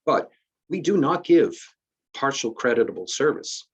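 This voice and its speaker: A man's voice: medium pitch, very animated, moderate pace, slightly rough, highs cut off. A man, walking through retirement rules on a video call, conversational and full of restarts. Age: 50s. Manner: conversational, many restarts and fillers, explains step by step. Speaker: retirement system administrator. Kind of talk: casual explanatory presentation. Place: virtual benefits fair call.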